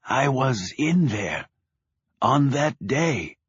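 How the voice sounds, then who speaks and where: A man voicing a timid, tearful Polish immigrant man who speaks halting broken English with a Polish accent, in an audiobook. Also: medium pitch, big pitch swings, slightly rough, no room sound, dull audio, pitched above the performer's own voice, heavily acted. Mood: shaken.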